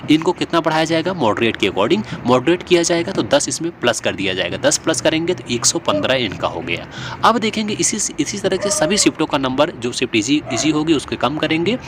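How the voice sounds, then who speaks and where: A man speaks as a coaching presenter in a slightly high voice. He explains informally and step by step, often repeating points for his viewers. Faint vehicle and street noise sits behind him. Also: a bit bright. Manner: repetitive, step-by-step explaining with worked examples.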